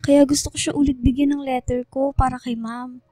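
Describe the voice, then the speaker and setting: A woman's voice: high-pitched, flat delivery, brisk, warm tone, dry recording, clean studio sound, faint music behind. A woman voicing a caring, earnest teenage schoolgirl in a radio drama. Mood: worried, earnest.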